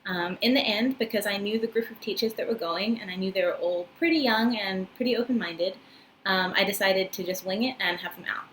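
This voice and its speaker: A woman's voice: medium pitch, a little fast, fairly bright tone, a little hiss or hum, low-quality recording. A woman in her twenties with a New Zealand accent, chatting to the camera in a casual, friendly way with many ums and uhs, recorded close up in a small room. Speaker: vlogger, English teacher in Japan. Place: small room indoors, wooden floor, paper screens.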